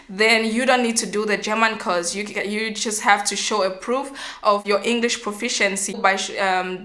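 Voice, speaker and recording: A young woman from Cameroon, giving earnest step-by-step advice in a vlog, often pausing on "um" and restarting. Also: mid-range voice, pushed and bright. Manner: earnest, explanatory, frequent um fillers and restarts. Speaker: vlogger.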